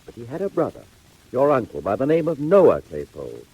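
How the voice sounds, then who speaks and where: A kindly, excitable gentleman of means in a 1940s audio drama. His husky voice ranges very widely, courteous and inquisitive with theatrical flourish. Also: medium pitch, fairly quick, low-quality recording. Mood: intrigued.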